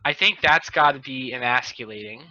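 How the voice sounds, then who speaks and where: A man's voice: mid-range voice, brisk, sharp tone, no echo, highs cut off. An American man chatting casually as a podcast co-host over a band-limited video call. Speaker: podcast co-host and local chamber of commerce chairman. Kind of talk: casual group banter. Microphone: video call microphone.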